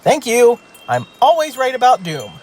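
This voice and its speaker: A woman's voice: a little high, very animated, normal speed, a little mellow, slight background noise. A woman playing an anxious, doom-predicting spaceship crew member in an audio sitcom, fretful and dryly fatalistic. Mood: anxious, doomy. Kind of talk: sci-fi audio drama sitcom acting.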